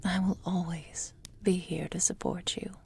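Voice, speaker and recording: A woman playing a doting, possessive immortal witch in an ASMR roleplay, murmuring tenderly and intimately in a breathy whisper to her apprentice. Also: fairly low pitch, level tone, a little mellow, exaggerated voice. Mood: gently encouraging. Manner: slow, tender, intimate delivery.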